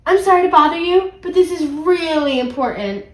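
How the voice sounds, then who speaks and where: A woman's voice: very high-pitched, normal speed, echoey room, pitched above the performer's own voice. A woman reading the part of an eager, dramatic little girl superhero from a storybook, earnest and exclamatory. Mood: determined, pleading.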